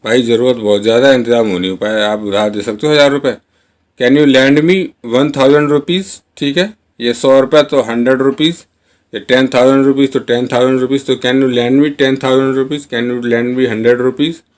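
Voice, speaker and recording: A man teaching spoken English in a chatty, casual way, reading each example sentence very slowly and word by word. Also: medium pitch, steady tone. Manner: chatty, addresses viewers as guys. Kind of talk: Hindi-medium spoken English lesson.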